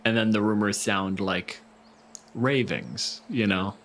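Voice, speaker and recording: Low-voiced man running a tabletop game. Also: takes it slowly, a bit bright, a little hiss or hum. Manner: irreverent, swears freely. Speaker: game master and host. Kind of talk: casual tabletop game banter and narration.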